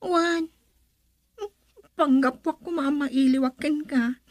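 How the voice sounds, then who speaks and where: A woman's voice: high-pitched, steady tone, slow pace, darkish tone, dry recording. A woman with an Ilocano accent playing a hesitant young woman in love, a dutiful daughter in a radio drama. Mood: playful, coy.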